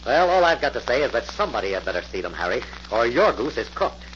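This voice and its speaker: An actor playing a brisk, skeptical investigator who takes charge in a 1940s radio mystery, clipped and authoritative. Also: male voice, medium pitch, big pitch swings, a bit bright. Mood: skeptical, stern. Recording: muffled, very noisy old-time radio recording.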